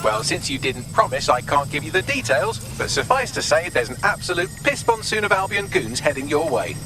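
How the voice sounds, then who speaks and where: Cheeky, sardonic game AI helper: fast, very lively, wry and wordy, over street noise. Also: male voice, a little high. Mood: smug. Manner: glib, wry, wordy delivery. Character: witty, smug male AI assistant, cheeky and sardonic. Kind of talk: video game voice acting.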